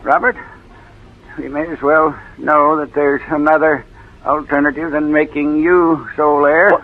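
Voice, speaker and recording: An actor playing a sly, ailing old uncle in 1940s radio drama, deliberate and needling. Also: male voice, a little high, little pitch movement. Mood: sardonic. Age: older. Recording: muffled old radio recording, narrow band.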